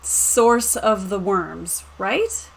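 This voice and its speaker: A woman's voice: medium pitch, animated, drawn-out words, creaky. A woman hosting a casual chat show about tabletop games, talking informally with the others. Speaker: show host reading viewer comments.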